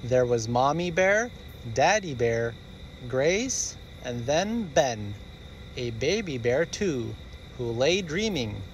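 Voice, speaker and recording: A man reading a rhyming children's story aloud in an expressive storyteller's style. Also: mid-range voice, slow, slight background noise.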